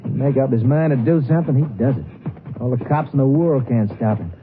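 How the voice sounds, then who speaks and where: An actor playing an eager, wheedling small-time crook with American folksy criminal slang in a 1950s radio crime drama. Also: male voice, medium pitch, brisk, faint music behind, lightly acted. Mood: assured. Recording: tinny, noisy 1950s radio recording.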